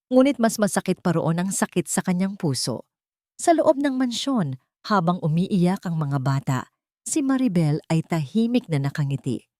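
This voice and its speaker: Low-voiced woman narrating a drama. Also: darkish tone, no echo, clean studio sound. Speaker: audiobook narrator. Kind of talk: scripted dramatic story narration.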